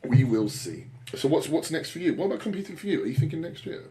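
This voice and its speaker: A man's voice: a little high, sing-song, quick pace, warm tone. A British man in his thirties co-hosting a podcast in a casual, rambling, self-deprecating way with blokey swearing. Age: 30s. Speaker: podcast co-host and bodybuilding coach.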